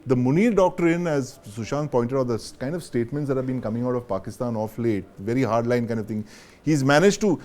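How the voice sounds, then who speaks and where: A man with an Indian accent hosting a news panel discussion, with halting phrasing and frequent agreeing interjections. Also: mid-range voice, a little mellow. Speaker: host of a news panel show.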